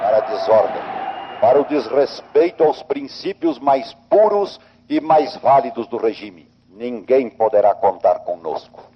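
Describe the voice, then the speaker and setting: A man's voice: medium pitch, lively intonation, unhurried. Solemn Brazilian man, dull archival sound. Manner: declamatory, solemn. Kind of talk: formal political address. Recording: dull, faintly noisy archive recording.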